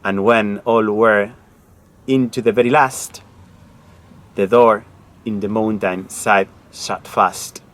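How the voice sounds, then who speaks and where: Low-voiced Spanish man reading aloud. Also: steady tone, slow, pauses often, fairly bright tone. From Spain (La Mancha). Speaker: amateur volunteer reader. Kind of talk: reading verse aloud with informal introduction.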